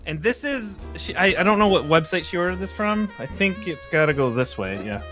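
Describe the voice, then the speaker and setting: A man's voice: high-pitched, expressive, fairly quick, a bit bright, soft background music. A young adult man chatting casually and enthusiastically in a rambling, conversational way. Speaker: member of an amateur script-reading group. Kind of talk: casual group chat, showing off collectibles. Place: at home on a group video call.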